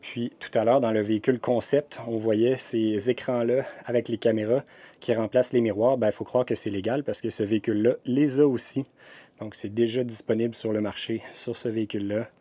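Mellow Québécois man touring campers. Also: mid-range voice, steady tone, normal speed, no echo. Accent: Québécois French. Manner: chatty and descriptive, frequent hesitations. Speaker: RV vlogger touring a trade show. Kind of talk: casual walkthrough narration. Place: indoor RV show hall.

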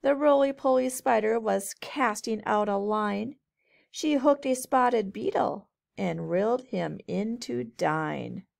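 Slow-reading woman with a creaky voice. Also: fairly high pitch. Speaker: teacher reading aloud to children. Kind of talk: storybook read-aloud narration.

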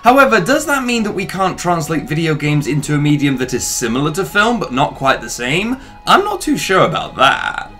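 Higher-pitched young man presenting a video essay. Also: big pitch swings, normal speed, few pauses, pushed and bright. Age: young adult. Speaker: video essay host and film reviewer. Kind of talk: scripted opinionated video essay narration. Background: background music.

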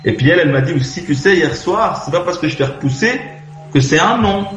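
A man's voice: a little high, expressive, fast, fairly bright tone. A man from Switzerland, a radio phone-in caller, chatting casually and telling his story hesitantly as he searches for words. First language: French. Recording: muffled, echoey internet call, music behind. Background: music.

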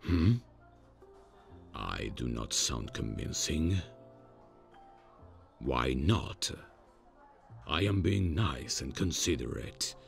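A man playing an awkward, menacing demon in a comedic ASMR roleplay, speaking in a stilted, halting, deadpan way with a deep gravelly voice. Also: soft background music, full character voice. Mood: dry, curious. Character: awkward, menacing male demon on a blind date.

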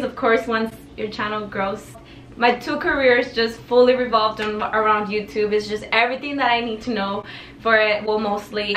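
A woman's voice: on the high side, a bit bright, slight background noise. A talkative teenage woman, chatting to camera in her bedroom. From El Paso, Texas, raised in Juarez, Mexico. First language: Spanish. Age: teens. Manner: bubbly, talkative, gestures a lot. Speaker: vlogger. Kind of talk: casual talk to camera. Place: bedroom with tapestry backdrop, indoors. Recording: echoey room, mic a bit far.

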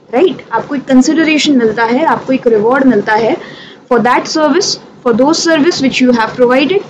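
High female voice, Indian accent, informal tutor, conversational and direct, checks in with students, noticeable background noise. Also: dull audio. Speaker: teacher of company law exam revision. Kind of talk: informal lesson mixing Hindi and English. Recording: clearly audible background noise.

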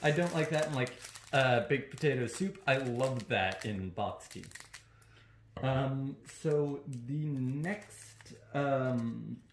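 Young guy talking slow and deliberate, casual, lots of room echo. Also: mid-range voice, slight background noise. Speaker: co-host answering and asking questions. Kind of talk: casual chat. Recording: roomy, echoing sound.

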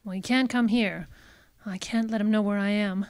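A woman's voice: mid-range voice, fairly even intonation, moderate pace, darkish tone, smooth, natural voice. A woman playing a coy, scheming femme fatale in a noir radio drama, with a hesitant, pleading, girlish innocence.

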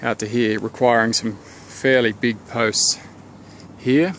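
A man, thinking aloud at an unhurried pace as he walks around his house and plans renovation work, pausing often with ums and restarts. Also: medium pitch, fairly even intonation, a bit bright, a little hiss or hum. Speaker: homeowner giving a renovation tour. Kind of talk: casual thinking-aloud walkthrough. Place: outdoors around a house, under a deck and in a garden. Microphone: handheld camera held by the speaker.

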